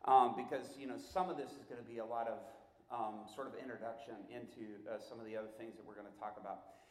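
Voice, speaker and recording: A middle-aged man giving an informal teaching talk as a church workshop teacher, conversational and halting, often restarting and repeating himself. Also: medium pitch, fairly even intonation. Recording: echoey room.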